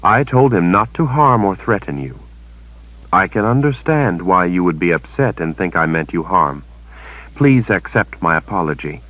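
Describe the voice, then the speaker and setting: A man voicing a cold, smug witch woman who speaks in calm, measured, menacing tones. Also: sits low, no echo, dull audio.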